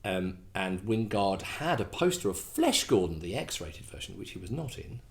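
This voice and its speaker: Rambling middle-aged man, low voice. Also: big pitch swings, moderate pace. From England. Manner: rambling, self-deprecating, many fillers and restarts. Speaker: host. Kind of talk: casual chat.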